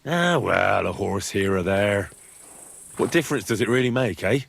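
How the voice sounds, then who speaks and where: An actor playing an earnest, persistent young squire with a husky voice in a medieval video game. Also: male voice, on the low side, expressive, moderate pace, a bit bright, full character voice. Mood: coaxing. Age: young adult. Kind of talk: video game dialogue acting.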